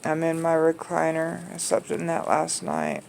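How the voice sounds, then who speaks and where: A woman with a heavily gravelly, hoarse voice speaks very slowly, pausing often and filling gaps with "um". She talks casually from her recliner at home. A faint hiss sits under her voice. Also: low-pitched, monotone, darkish tone. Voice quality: raspy. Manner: slow, halting, many pauses and fillers. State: hoarse after surgery, wearing a soft neck collar. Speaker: vlogger recovering from neck surgery. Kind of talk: casual recovery vlog to camera.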